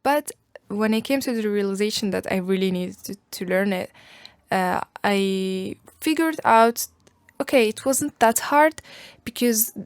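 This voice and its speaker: A woman's voice: mid-range voice, unhurried, clear, resonant tone, no echo, clean studio sound. A young Algerian woman whose first language is Arabic, answering interview questions in a casual, thoughtful way, with many fillers and restarts. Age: young adult. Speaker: guest.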